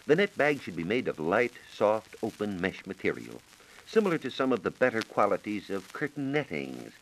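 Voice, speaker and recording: An American man giving measured, precise instructions as a science reporter on a scripted 1940s radio program. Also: fairly low pitch, sing-song, slight background noise, low-quality recording. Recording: 1940s radio broadcast recording.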